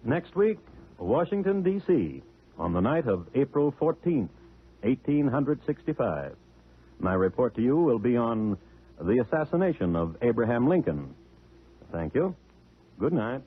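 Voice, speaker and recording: Solemn, husky 1950s radio crime host. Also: male voice, mid-range voice, big pitch swings, unhurried, long pauses. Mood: solemn, formal. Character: solemn male true-crime storyteller announcing next case. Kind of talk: 1950s radio drama narration. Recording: tinny, muffled old radio recording.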